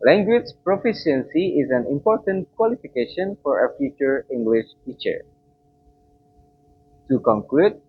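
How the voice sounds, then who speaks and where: Slow, careful young man presenting for class. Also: mid-range voice, husky, phone-quality sound. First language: Indonesian. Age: young adult. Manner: slow, careful, formal delivery. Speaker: student presenter. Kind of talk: formal class presentation read from notes.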